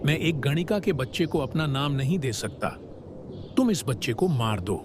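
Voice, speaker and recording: Guy doing a callous cartoon king, swingy pitch, arrogant, little noise behind. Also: sing-song, moderate pace, lightly acted. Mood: cold, dismissive. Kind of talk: melodramatic cartoon dubbing.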